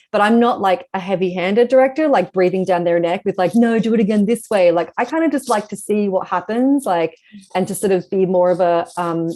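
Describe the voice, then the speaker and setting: A woman's voice: mid-range voice, a little mellow. A woman speaking as a guest author in a casual interview, explaining things in a reflective way.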